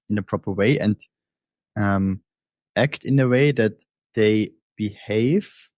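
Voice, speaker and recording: A man hosting a podcast interview, speaking in a measured, reflective way with frequent ums. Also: mid-range voice, a little mellow, no room sound, studio quality. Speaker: podcast host. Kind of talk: conversational interview.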